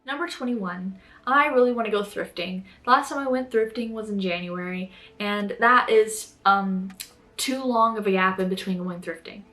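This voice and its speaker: A woman's voice: medium pitch, normal speed, a bit bright, faint music behind. A young woman vlogging casually to camera, chatty and full of fillers, her voice strained and sore. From Florida, United States. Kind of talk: casual vlog talk to camera.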